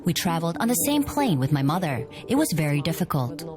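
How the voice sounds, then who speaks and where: A woman reads a documentary voice-over in a low, dark-toned register. Her delivery moves through a wide, animated pitch range, and music plays clearly behind her. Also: fast. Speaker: voice-over translator.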